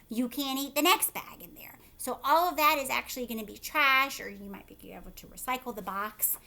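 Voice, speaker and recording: Female teacher, higher-pitched voice, children's read-aloud with explanatory asides, instructive and inclusive of young listeners. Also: bright, forceful tone. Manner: instructive, checks in with listeners using simple questions. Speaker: teacher reading a picture book aloud to children.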